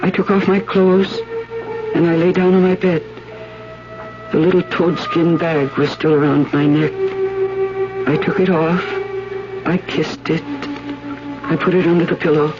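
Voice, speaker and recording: An actress in a 1970s radio drama playing a guilt-ridden woman confessing, with intense, hushed storytelling. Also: lowish voice, animated, measured pace, pauses often. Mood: resigned guilt. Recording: dull old radio recording, loud music.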